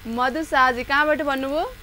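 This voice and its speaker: A woman's voice: high voice, normal speed, bright, forceful tone, slight background noise. A woman with a cold hosting a live call-in show, chatting with callers. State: congested from a cold. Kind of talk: live phone-in chat with callers.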